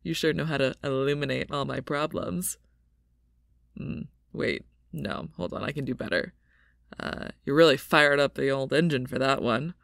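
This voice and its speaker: A woman's voice: rumbling low, moderate pace, gravelly, a bit bright, no room sound, studio quality, full character voice. A woman voicing a playful, laid-back sporty young woman with a jokey drawl in an audio roleplay. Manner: jokey, easygoing drawl with puns.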